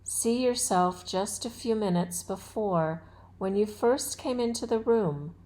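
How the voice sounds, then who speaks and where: A woman guiding a yoga nidra relaxation as an instructor, with soothing, measured and deliberate phrasing. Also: mid-range voice, warm tone, poor audio. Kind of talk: guided meditation and relaxation instruction.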